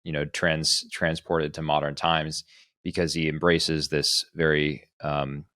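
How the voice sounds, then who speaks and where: A man's voice: very deep voice, little pitch movement, unhurried, bright, forceful tone, dry recording, clean studio sound. A man co-hosting a podcast discussion, speaking in an articulate, analytical way. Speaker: podcast co-host.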